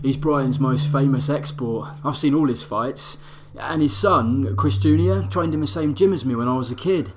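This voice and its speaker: An actor playing a shy, casually spoken young apprentice in an audio drama. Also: male voice, mid-range voice, normal speed, hardly any pauses, lightly acted. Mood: chatty, proud. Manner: casual, colloquial, hesitant. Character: shy, soft-spoken young plumbing apprentice, boxing fan. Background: music.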